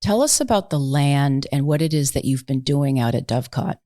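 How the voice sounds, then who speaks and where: A woman's voice: a bass voice, lively intonation, fairly quick, mellow, no echo, studio quality. A woman hosting a conversational interview, effusive and leaning on words for emphasis. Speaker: podcast host, interviewer.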